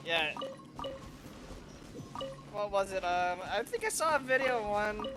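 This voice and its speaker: A man with a fairly high voice chats casually as he streams a game. He rambles and thinks aloud, with game music clearly playing behind him. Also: sing-song, slow pace. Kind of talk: casual gameplay commentary. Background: video game music.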